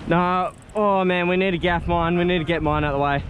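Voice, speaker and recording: A man filming himself fishing from an ocean rock ledge on an action camera, excitedly calling out instructions over wind and waves. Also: high voice, brisk. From Australia. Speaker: fishing vlogger. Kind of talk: excited outdoor commentary. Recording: loud wind and surf noise.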